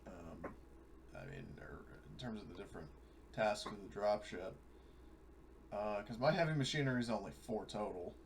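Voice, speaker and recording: Low voiced dude playing a tabletop rpg, casual chat, faint noise. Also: male voice, big pitch swings, moderate pace, gravelly. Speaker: tabletop game player.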